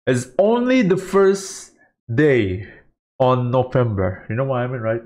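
Slow, animated man reacting to video. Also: medium pitch. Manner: exclaims and repeats phrases. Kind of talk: casual live reaction commentary. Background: game trailer music.

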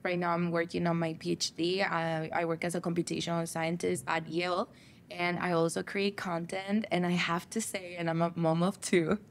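A woman's voice: lowish voice, flat delivery, moderate pace, slight background noise. A young woman from El Salvador, a guest on a studio talk show, chatting in an upbeat way with lots of "like" fillers. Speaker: guest, chemical engineer and content creator. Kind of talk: casual interview chat. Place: TV studio set, seated on couch.

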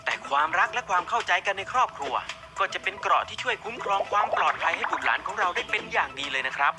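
A man's voice: high-pitched, expressive, racing. A man hosting a TV program, reading his lines in a formal, polite announcer manner. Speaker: TV program host. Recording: loud music under the voice.

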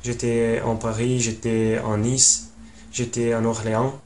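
A man in his twenties with an Italian accent, introducing himself in a learner's halting way with many pauses and fillers. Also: mid-range voice, little pitch movement, a little mellow. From Italy. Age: 20s. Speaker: language learner. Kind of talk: casual self-introduction. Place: small room at home.

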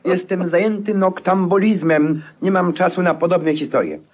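A man acting an irritable, pompous gentleman in a 1950s radio play, gruff and indignant. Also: high voice, moderate pace. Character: irritable, haughty, absurd gentleman with a cane.

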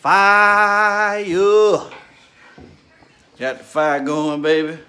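A man's voice: sits high, extremely slow, bright, forceful tone. Laid-back man hosting a family cooking vlog. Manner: laid-back, joking, addresses viewers as family. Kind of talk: casual family vlog chat.

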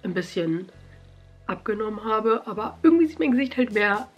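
A young woman vlogging casually from her bedroom, slightly nasal from a cold, chatty and animated. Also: medium pitch, moderate pace, breathy edge, muffled recording, faint music behind. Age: young adult. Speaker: YouTuber. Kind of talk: casual vlog chat. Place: bedroom at home.

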